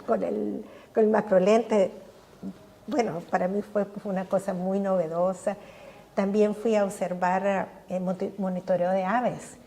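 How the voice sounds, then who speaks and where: An older woman answering interview questions with eager enthusiasm. Her voice has a warm, mellow tone, and she trips over repeated words as she searches for what to say next. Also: medium pitch, vocal fry. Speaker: guest scientist. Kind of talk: podcast interview.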